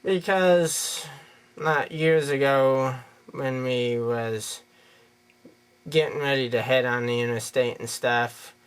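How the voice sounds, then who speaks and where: A man's voice: mid-range voice, steady tone, takes it slowly, smooth. An American man giving an unscripted opinion monologue in a rambling, folksy, plain-spoken way. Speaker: video creator giving an opinion.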